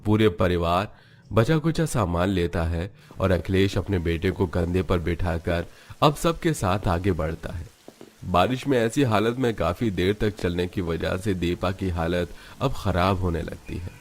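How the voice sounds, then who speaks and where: Deep-voiced male story narrator. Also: lively intonation, a little slow. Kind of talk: scripted dramatic narration of a cartoon story.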